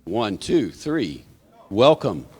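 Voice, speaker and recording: A man's voice: on the low side, big pitch swings, extremely slow, husky. A man giving informal church announcements to a congregation, with folksy joking asides. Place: church sanctuary, live service. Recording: hall reverb from sound system.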